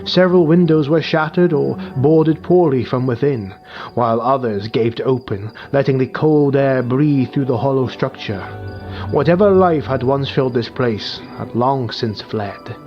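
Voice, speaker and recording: A male audiobook narrator reads dark fantasy at a steady, measured pace in a somber tone. His voice sits at a middle pitch. The audio is a bit dull and muffled, with quiet music playing underneath.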